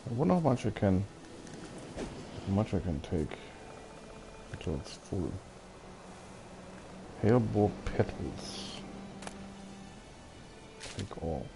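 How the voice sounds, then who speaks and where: A man's voice: low voice, sing-song, takes it slowly, vocal fry, pauses often, noisy background. A man giving casual let's play commentary, muttering wry asides and reading game text aloud. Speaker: gamer playing and commenting.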